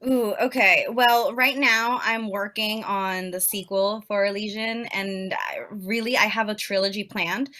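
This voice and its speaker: Bright-voiced young woman, chatty guest. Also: medium pitch, fairly even intonation. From Peru. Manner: chatty, many "like" fillers, quick laughs. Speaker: author guest. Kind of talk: casual interview chat.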